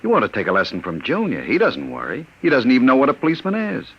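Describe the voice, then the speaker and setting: An actor playing a cold, sneering gang boss in a 1950s radio drama, menacing and mocking. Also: male voice, medium pitch, huge pitch swings, fast, husky, highs cut off, exaggerated voice.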